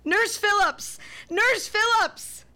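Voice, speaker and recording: An actress playing a tender, fragile young mother of a sick newborn in a radio drama, earnest and expressive. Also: very high-pitched, takes it slowly, a little hiss or hum, heavily acted. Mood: frantic, furious.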